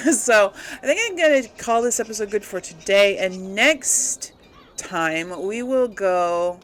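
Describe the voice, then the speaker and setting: A woman's voice: medium pitch, slight background noise. A woman giving casual, unscripted game commentary to viewers, rambling a little as she thinks aloud. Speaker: let's play host.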